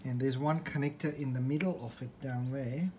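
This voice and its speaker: A man with a medium-pitched voice talks through a hobby repair in an informal, unscripted way. He explains as he goes, somewhat hesitantly, thinking aloud. It is recorded on a handheld phone indoors, with faint noise in the background. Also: steady tone, normal speed, dark tone. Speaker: hobbyist restorer presenting a repair. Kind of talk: informal unscripted workbench walkthrough. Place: indoors at a desk in a room. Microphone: handheld phone or camera, behind the lens.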